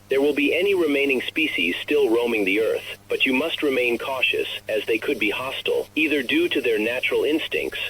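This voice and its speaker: A man playing a grave narrator of a recorded message to the last human, speaking in a solemn, measured, formal way for an analog horror story. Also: mid-range voice, a bit bright, slight background noise, close to an everyday voice, rough recording. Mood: solemn, reassuring.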